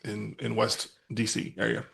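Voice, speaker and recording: Gravelly-voiced man telling a rambling story. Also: fairly low pitch, fairly even intonation, moderate pace, a bit bright. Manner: rambling, many fillers and restarts. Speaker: podcast host. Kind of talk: casual conversational storytelling.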